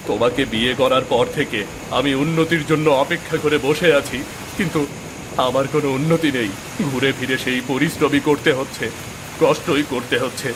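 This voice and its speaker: An actor voicing a bitter, self-pitying village farmer in a moral-story cartoon, dramatic and complaining. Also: male voice, mid-range voice, a little fast, background noise, lightly acted. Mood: angry, blaming.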